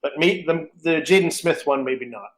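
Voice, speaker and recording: A man's voice: fairly high pitch, normal speed. A man chatting casually as a co-host on a podcast.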